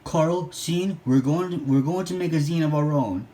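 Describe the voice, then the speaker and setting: A man's voice: on the high side, normal speed, mellow, pitched above the performer's own voice, lightly acted. A man voicing an eager, boastful boy genius from a cartoon, speaking in emphatic declarations, in a storybook read-along. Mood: determined.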